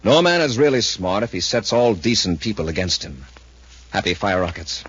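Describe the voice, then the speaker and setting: An actor playing a brave, decisive space patrol commander in a 1950s radio adventure serial, with a crisp, urgent, commanding manner. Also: male voice, lowish voice, big pitch swings, normal speed, fairly bright tone, dry recording, exaggerated voice. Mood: firm, steady. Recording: noisy, muffled 1950s radio recording.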